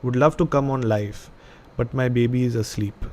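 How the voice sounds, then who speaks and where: A man's voice: mid-range voice, steady tone, normal speed, mellow. Tipsy young Indian man hosting a live horror podcast. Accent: Indian English. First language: not English. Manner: laughing, rambling, reads out chat messages. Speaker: podcast host. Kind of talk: casual live-stream chat and story reading.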